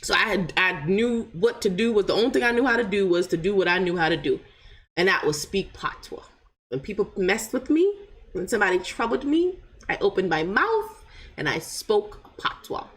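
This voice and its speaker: A woman's voice: medium pitch, lively intonation, moderate pace, a bit bright. A woman from Jamaica with a light Jamaican accent, telling viewers her own story in a chatty, wandering way and often checking in with "right?". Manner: chatty, digressive, keeps asking "right?". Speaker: channel host.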